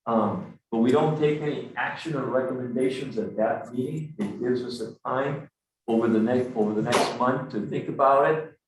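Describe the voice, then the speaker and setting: A man chairing a city commission meeting, explaining how agenda items are handled in a relaxed way with some fillers. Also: mid-range voice. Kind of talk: meeting procedure talk. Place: echoey city meeting room. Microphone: distant room mic. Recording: echoey room audio from far off, faint hiss.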